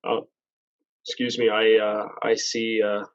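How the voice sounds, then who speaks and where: A man's voice: lowish voice, monotone, unhurried, lo-fi sound. A man in his twenties from Canada, chatting as a podcast guest, rambling and correcting himself as he goes, laughing at himself now and then. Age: 20s. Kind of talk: casual chat.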